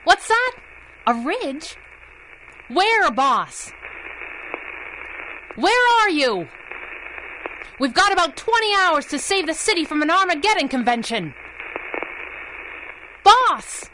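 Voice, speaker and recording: An actress playing a plucky young masked sidekick in a 1930s-style radio adventure, speaking in a spirited, slangy way. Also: female voice, very high voice, sing-song, takes it slowly, pauses often, pushed and bright, a little hiss or hum, exaggerated voice, rough recording. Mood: urgent, calling out.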